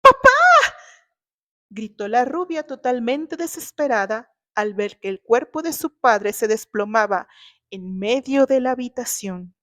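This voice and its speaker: A woman with a Mexican accent narrating a scripted story in an emotive, deliberate, dramatic manner. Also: on the high side, no echo, clean studio sound.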